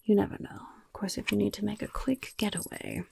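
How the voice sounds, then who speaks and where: A woman voicing a friendly female goblin rogue in an ASMR fantasy roleplay, with a breathy, raspy whisper and gently encouraging, genteel phrasing. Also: medium pitch, huge pitch swings, a little fast, full character voice. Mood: helpful, musing.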